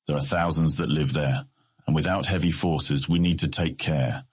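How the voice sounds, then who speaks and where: Fantasy audiobook merchant lord: bass-register, gravel-edged husky tone, calculating, courteous, formal, smoothly persuasive in his wording. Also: steady tone. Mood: patient, cautioning. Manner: formal, measured, placating. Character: scheming, measured male merchant guildmaster, politely persuasive. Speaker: voice actor in audiobook. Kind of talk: full-cast fantasy audiobook acting.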